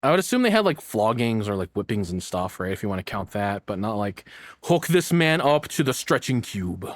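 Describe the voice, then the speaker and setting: A man talks like a casual reaction host, with pitch that swings around a lot. He is flippant and rambling, happy to swear, and recorded close and dry with no room sound. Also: very animated, fairly quick, no room sound. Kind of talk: casual joking reaction commentary.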